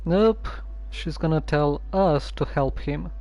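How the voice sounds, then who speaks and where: A streamer casually commenting on a story game with a hesitant, musing, deadpan way of talking. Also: mid-range voice, takes it slowly, muffled recording.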